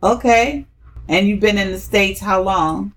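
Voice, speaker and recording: A woman's voice: medium pitch, measured pace. A woman hosting a podcast, chatty and upbeat, interviewing guests with frequent fillers. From DMV area, US. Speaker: podcast host.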